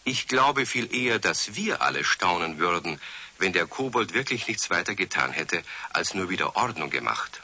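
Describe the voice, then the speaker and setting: A man's voice: sits low, sing-song, normal speed, sharp tone, a little hiss or hum. A man narrating a scripted children's story in a wry storytelling manner.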